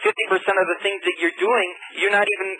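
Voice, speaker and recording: A man calling a radio show by telephone, explaining his views in an earnest, halting, persistent way. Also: sits high, flat delivery. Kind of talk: live phone-in debate. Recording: faintly noisy radio phone-in call.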